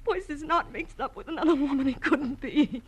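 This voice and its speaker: A woman playing a prim, anxious young wife in a 1940s radio drama, speaking hesitantly and haltingly. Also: high voice, close to an everyday voice. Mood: anxious, defensive. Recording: noisy old radio recording.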